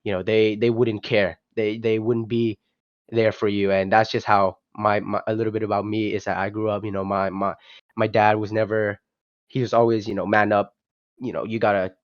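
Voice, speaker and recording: A man's voice: on the low side, level tone, normal speed, dry recording. A young man, talking loosely as a guest on an online call. He hesitates and restarts often, leaning on fillers. The sound is dry and dull, with no room around it. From Vietnam. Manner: hesitant, many restarts and "you know" fillers. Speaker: guest, project founder. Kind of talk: casual podcast interview.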